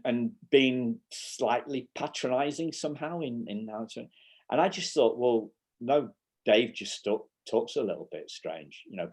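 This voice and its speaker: A man's voice: mid-range voice, a little mellow. A man in his fifties with a Yorkshire accent, a guest explaining his school workshops in a casual interview, full of restarts and fillers. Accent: Yorkshire English. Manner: explains in short bursts with many restarts and fillers. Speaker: guest, educational publisher and school workshop speaker. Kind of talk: conversational interview.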